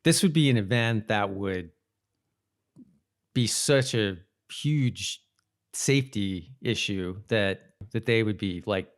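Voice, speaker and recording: A man's voice: lowish voice, slow. A man co-hosting a podcast, giving casual, jokey commentary on a TV show. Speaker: podcast co-host.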